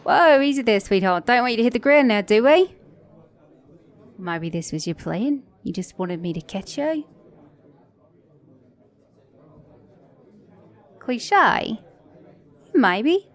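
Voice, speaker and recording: A woman's voice: on the high side, lively intonation, measured pace. A woman voicing a flirty, sassy, caring mechanic in an intimate ASMR romance roleplay, teasing the listener as her date. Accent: British or Australian.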